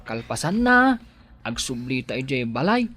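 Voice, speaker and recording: A man acts out a devoted young husband for an audio drama. His delivery swings widely in pitch, affectionate and conversational, with the nervous care of an expectant father. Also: big pitch swings, unhurried, fairly bright tone. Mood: tender, teasing.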